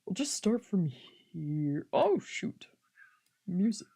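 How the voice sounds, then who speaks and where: A woman's voice: fairly low pitch, sing-song, slow, vocal fry, warm tone, husky. A woman doing casual, playful let's play commentary, chatting informally and exclaiming as she reads along. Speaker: streamer, let's player. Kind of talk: casual let's play commentary, reading game text aloud.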